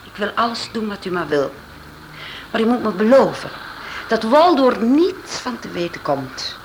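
A woman's voice: fairly high pitch, very animated, normal speed, rough recording. An actress playing a vengeful woman witness who talks earnestly in a radio play. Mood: pleading. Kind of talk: vintage radio play acting. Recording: background noise.

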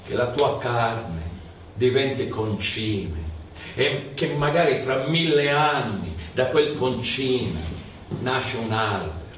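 A man playing a dead, burnt-faced priest in a stage tale, solemn, grimly kindly and deliberate. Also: mid-range voice, lively intonation, a bit bright. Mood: grave, musing. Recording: roomy, distant mic, faint noise.

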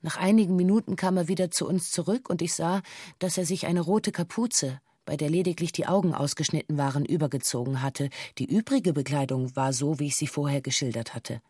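An actress playing a bank employee who gives a sober, formal witness statement in a radio drama, factual and detached. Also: female voice, deep voice, brisk, dark tone, slightly rough, no echo, studio quality. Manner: factual, detached, precise official phrasing. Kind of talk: scripted documentary radio drama, formal testimony.

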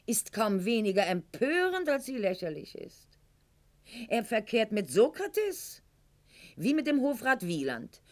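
An actress playing a proud, wounded, sharp-tongued aristocratic lady of the Weimar court in a radio play monologue, articulate and declamatory, with dramatic pauses. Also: on the low side. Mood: haughty indignation. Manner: haughty, articulate, declamatory, with dramatic pauses and hushed line endings.

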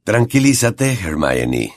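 A man voicing a weary, gentle male teacher who is secretly a werewolf, speaking quietly and calmly. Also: fairly low pitch, slow pace, no echo, studio quality. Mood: calm pleading. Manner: quiet, calm, soothing.

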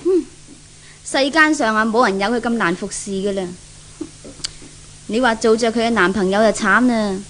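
Woman acting high-voiced, outspoken maidservant. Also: moderate pace, long pauses, fairly bright tone, a little hiss or hum, natural voice, low-quality recording. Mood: grumbling, gossipy. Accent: Hong Kong Cantonese. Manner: frank, grumbling. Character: outspoken, gossipy maidservant who grumbles about her mistress. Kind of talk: 1970s Cantonese radio drama acting.

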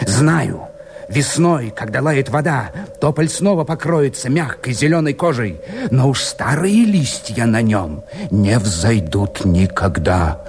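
An actor playing a scheming, scornful rebel conspirator, declaiming verse emphatically and deliberately in a radio play. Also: male voice, medium pitch, expressive. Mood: impassioned sorrow. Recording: noisy radio play soundtrack.

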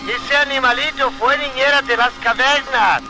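An actor playing a jokey crewman with a slow comic drawl, in 1950s radio serial style. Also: very high voice, sharp tone, heavily acted. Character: jokey, drawling male crewman answering his commander. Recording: vintage radio drama with music.

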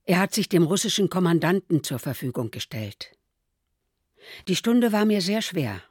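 A woman reading as an elderly mother keeping a wartime diary, calm and measured, in a documentary. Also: lowish voice, slightly rough, no echo, clean studio sound. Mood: sober.

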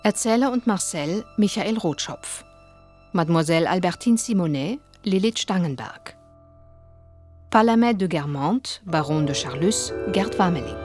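A woman's voice: lowish voice, lively intonation, moderate pace, a little mellow. A woman announcing a radio play in a formal, scripted manner. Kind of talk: scripted announcement of title and cast. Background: music.

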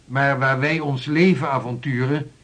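Man as husky sea captain, polite but firm. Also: mid-range voice, steady tone, normal speed, fairly bright tone, lightly acted. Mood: arguing. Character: seasoned ship's navigator at a meeting. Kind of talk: radio play acting.

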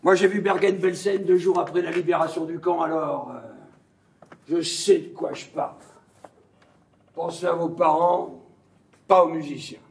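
A man's voice: on the high side, fairly even intonation, moderate pace, pauses often, natural voice. A man acting on stage as a brash, domineering American army major in French, blunt, sarcastic and coarse in his speech. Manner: blunt, sarcastic, colloquial, swearing. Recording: distant echoey stage pickup.

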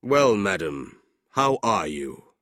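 A man's voice: on the low side, very animated, fairly bright tone, no room sound, clean studio sound, full character voice. A man voicing a cold, scornful older businessman uncle with a curt, sneering delivery in a storybook reading. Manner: curt, sneering, drawn-out emphatic words.

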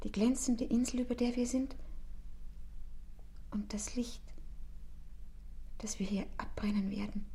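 Breathy, mellow woman as devoted lover. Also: fairly high pitch, fairly even intonation, unhurried, pauses often, heavily acted. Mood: awed, hushed. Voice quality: soft, breathy, often whispering. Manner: hushed, poetic, intense delivery. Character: devoted young female lover, yielding and ardent. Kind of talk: literary German radio play acting.

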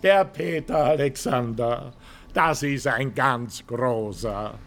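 An actor playing a courteous, flattering Viennese host of an old television talk show in a radio drama. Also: male voice, mid-range voice, music in the background. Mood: genial, flattering. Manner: courteous, showman-like, unhurried.

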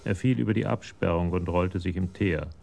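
An actor as the sober, detached storyteller narrating a scripted radio crime drama. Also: male voice, low-pitched, a little fast, vocal fry, darkish tone, soft background music.

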